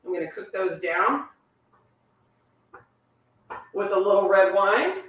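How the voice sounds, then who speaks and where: A woman with a slightly squeaky voice hosts a live cooking class, answering viewers' questions in a chatty way with self-deprecating asides, while a sore back bothers her. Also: expressive, slow, highs cut off. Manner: chatty, warm-hearted asides, laughs at herself. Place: home kitchen. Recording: echoey kitchen, voice from a distance. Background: clinking cutlery and dishes.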